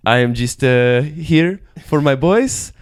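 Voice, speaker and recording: A man's voice: a little high, animated, slow, dry recording, clean studio sound. A man voicing a flamboyant, gushing foreign celebrity photographer, drawing out words in an effusive, giggly manner. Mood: gushing, amused.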